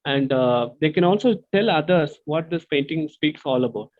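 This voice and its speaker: A man's voice: a little high, lively intonation, normal speed. A man from India, explaining earnestly as an interview guest over a video call, full of hesitant fillers. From South India. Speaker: guest artist. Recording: tinny phone-like call audio.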